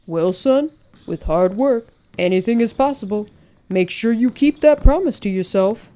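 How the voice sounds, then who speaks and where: A woman's voice: a little high, expressive, moderate pace, mellow, voice pushed up, lightly acted. A woman voicing a serious male school coach in an emphatic, challenging way for a storybook. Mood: encouraging. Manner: emphatic, probing.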